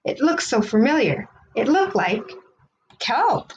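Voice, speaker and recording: A woman reads a picture book aloud for children in a highish, very animated voice. She stretches phrases for dramatic effect, like a practiced storyteller. Some background noise and clicks sit behind her. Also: slow pace, dull audio. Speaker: read-aloud host.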